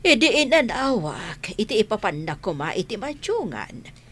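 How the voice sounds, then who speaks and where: Actress as an animated grumbling woman. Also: medium pitch, moderate pace. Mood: exasperated. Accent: Ilocano. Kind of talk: Ilocano radio drama acting.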